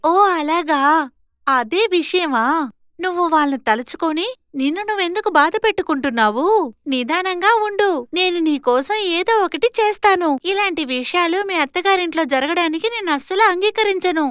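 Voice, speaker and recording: A woman playing a very high-pitched bold young woman. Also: expressive, normal speed, a bit bright, no room sound, studio quality. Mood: determined. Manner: assertive, confident. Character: bold, educated young twin sister. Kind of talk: animated moral-story voice acting.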